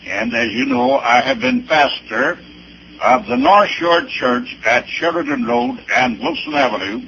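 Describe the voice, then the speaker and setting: Old preacher man, creaky but bright forceful voice, halting storytelling, muffled lo-fi recording with a bit of noise. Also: a little high, big pitch swings. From Arkansas, United States. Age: 70s or older. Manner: deliberate, halting, with emphatic spelled-out dates and places. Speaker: pastor. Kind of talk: personal testimony with anecdotes, preaching delivery.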